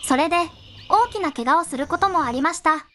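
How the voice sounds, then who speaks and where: A young-sounding synthetic woman narrating a fairy tale in measured storybook phrasing. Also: sits high, expressive, fairly bright tone.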